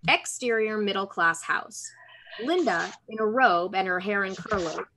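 A woman's voice: medium pitch, measured pace, noisy background. A young woman narrating scripted stage directions for a table read over a video call. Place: room at home, on a video call.

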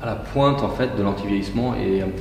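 Man in his forties, low voice in a booming gym. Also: fast talker, a little hiss or hum. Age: 40s. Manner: methodical, talks it through step by step. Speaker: guest, gym entrepreneur. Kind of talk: casual interview conversation. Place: large indoor gym hall. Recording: echoey gym hall from far off.

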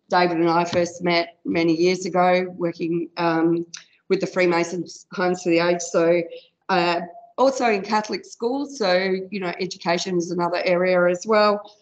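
A woman giving a conference talk in an informal, loud-voiced way, with frequent fillers. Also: on the low side, muffled recording. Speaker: conference presenter, software product specialist. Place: conference room.

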